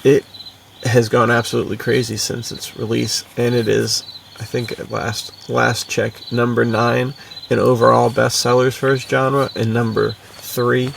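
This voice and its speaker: A man's voice: mid-range voice, little pitch movement, a little slow, gravelly, fairly bright tone, recorded from a distance, slight background noise. An American man co-hosting a podcast in casual, informal chat, using plenty of laid-back slang. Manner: informal, bro-ish, frequent "dude" and "like". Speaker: podcast co-host and adventure fiction author.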